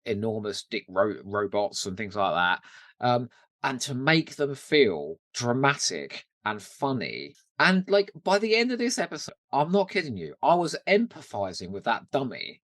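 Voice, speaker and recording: A British man co-hosting a podcast in casual, expressive and emphatic conversation. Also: mid-range voice, normal speed, dry recording, clean studio sound. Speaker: podcast co-host. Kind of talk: casual chat.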